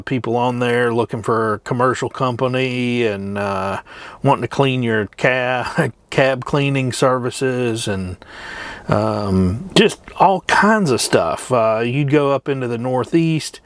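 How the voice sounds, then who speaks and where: A middle-aged man chatting casually as a trucker vlogger, rambling and reminiscing with frequent hesitant pauses. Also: medium pitch, no echo. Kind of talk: casual rambling chat to camera.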